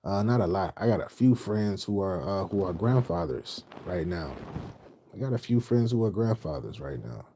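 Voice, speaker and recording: A man's voice: deep voice, animated, moderate pace, noisy background. A middle-aged man from Houston, casually chatting on a late-night livestream and reading comments. He talks loosely in slang, repeating his phrases and trailing off mid-thought. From Houston, Texas. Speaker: livestream host reading chat.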